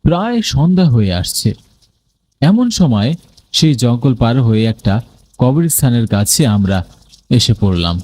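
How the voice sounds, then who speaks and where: A man's voice: medium pitch, very animated, moderate pace, long pauses, a little mellow. A man narrating a scripted first-person ghost story in a dramatic, suspenseful manner.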